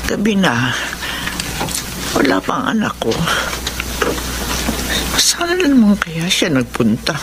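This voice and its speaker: An older woman playing a sickly, worried, apologetic elderly mother in a radio drama, with a frail, creaky voice. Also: fairly low pitch, wildly swooping pitch, takes it slowly, long pauses, exaggerated voice. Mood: weary, worried. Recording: very noisy radio drama track.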